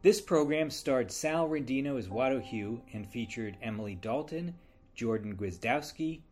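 A man's voice: mid-range voice, lively intonation, normal speed, a little mellow. A man reading scripted closing credits as the announcer of a radio drama. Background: guitar music.

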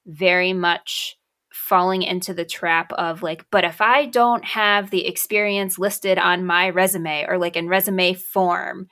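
A mother talking in a casual conversation, quick and self-deprecating, with frequent laughs. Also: fairly low pitch, little pitch movement, fairly bright tone.

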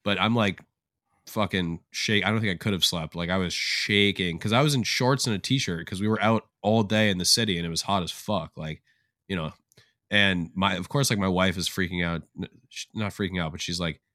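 A man with a very low voice tells a long rambling story on a podcast. He swears freely and fills nearly every phrase with "like". The sound is dry and close. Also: brisk, sharp tone, no room sound. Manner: profane, rambling storyteller with many "like" fillers. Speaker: podcast co-host. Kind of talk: casual chat.

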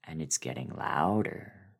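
A man voicing an uneasy, exhausted male colleague in a horror story, speaking in a halting, hesitant way. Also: rumbling low, lively intonation, vocal fry, breathy edge, voice pushed down, heavily acted.

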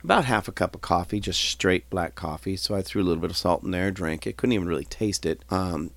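A man hosting his own podcast, nasal and stuffed up from a cold, talking in a rambling, folksy way and often clearing his throat. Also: deep voice, quick pace, fairly bright tone, no room sound. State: congested, nasal. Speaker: podcast host. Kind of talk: casual solo podcast talk.

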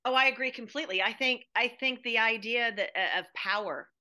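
A woman's voice: a little high, a little fast, bright, forceful tone. An American woman talking casually and effusively as an interview guest, with emphatic phrasing. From United States. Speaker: guest playwright and educator.

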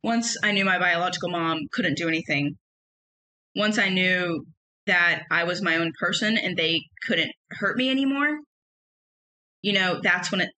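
A young woman with a loud, emphatic voice, telling personal stories in a casual solo podcast. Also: fairly low pitch, rough recording. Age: young adult. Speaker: podcast host.

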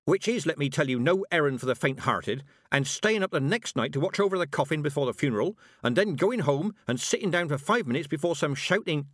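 Guy doing a blunt proud old country witch lady for an audiobook, highish voice, really hammers her words, very dry sound. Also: fairly bright tone, no room sound. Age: older. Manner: emphatic rustic speech, hammering out words. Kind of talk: audiobook character acting.

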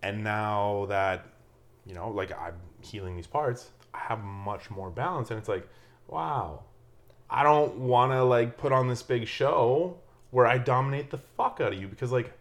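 A man's voice: medium pitch, a little slow. A man who hosts a podcast, talking in a laid-back, reflective way with casual swearing. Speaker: podcast host. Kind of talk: casual conversational chat.

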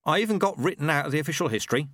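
A man voicing Ronnie, a boastful, touchy former horseman turned milkman, in a storybook reading with a lively, much higher voice. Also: racing, dry recording, clean studio sound. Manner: chatty, boastful, sour at times.

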